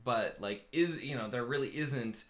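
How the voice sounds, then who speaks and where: A man's voice: medium pitch, normal speed, fairly bright tone. A man in his thirties co-hosting a casual live talk, thoughtful and hesitant, with frequent fillers and restarts. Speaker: co-host and coach. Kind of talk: casual conversation.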